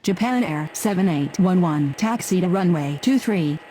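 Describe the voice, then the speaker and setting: A woman's voice: lowish voice, lively intonation, normal speed, mellow, slight background noise, close to an everyday voice. A woman playing a businesslike airport radio operator in an aviation game, speaking formal, procedural phraseology. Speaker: air traffic radio voice.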